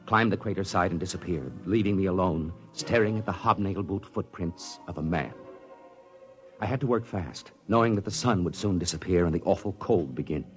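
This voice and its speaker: Brief: actor as low-voiced astronaut narrator, measured, faint music under old radio. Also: male voice, lightly acted. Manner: measured, dramatic storytelling. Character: male astronaut narrator, tense and secretive. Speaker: narrator in the story. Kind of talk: 1950s radio drama narration.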